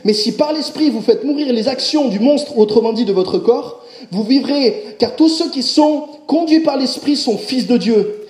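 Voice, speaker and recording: A man preaching a sermon, speaking directly to his congregation in an animated way. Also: extremely high pitch, moderate pace, reverberant. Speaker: pastor.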